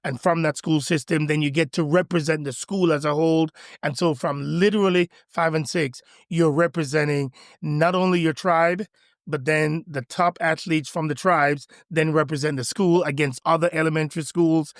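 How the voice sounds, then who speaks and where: Middle-aged male pastor from Jamaica, podcast guest; lively, enthusiastic storyteller, slightly high voice, dry close mic. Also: normal speed, dry recording. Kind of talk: podcast interview conversation.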